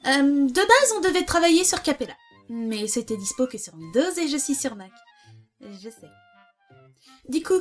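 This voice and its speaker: A woman answering in a wry, offhand way about recording her lines. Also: a little high.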